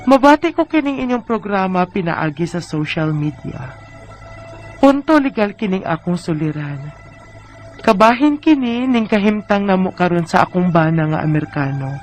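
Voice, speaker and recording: A woman's voice: fairly low pitch, expressive, moderate pace, faint music behind, lightly acted. A woman in her thirties with a Bisaya Filipino accent, playing a weary, discontented wife in a plaintive, sighing way for a radio drama. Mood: earnest narrating. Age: 30s. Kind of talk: Bisaya radio drama acting and letter narration.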